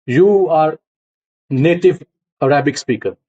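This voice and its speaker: A middle-aged male lecturer from Punjab speaks with a Punjabi accent and a fairly high voice, walking students through concepts step by step. He often pauses to check that they follow. The recording is dull and lo-fi. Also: warm tone. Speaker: university lecturer. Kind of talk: classroom lecture.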